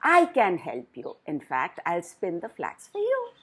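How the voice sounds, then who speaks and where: A woman's voice: on the low side, huge pitch swings, measured pace, a bit bright, breathy edge, heavily acted. A woman voicing a strange, kindly old woman in a children's fairytale, with a scratchy voice. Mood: bright, helpful.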